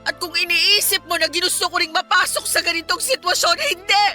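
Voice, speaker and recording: A woman's voice: very high voice, fairly quick, bright, forceful tone, soft background music, heavily acted. A woman playing a hurt, proud young wife and mother in a Filipino radio drama, emotional and pleading with indignation. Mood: tearful resolve.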